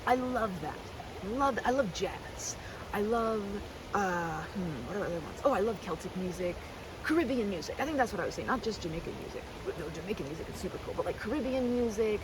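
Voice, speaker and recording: Woman rambling with very lively pitch. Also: background noise. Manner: rambling, halting, repeats herself, earnest. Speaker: ASMR roleplay performer. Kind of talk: rambling, chatty ASMR roleplay as a best friend. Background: riverside park ambience.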